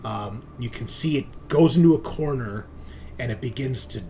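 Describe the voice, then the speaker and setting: A man game mastering a tabletop horror session, speaking in a halting, descriptive and casual way. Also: medium pitch, dark tone. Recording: noticeable background noise.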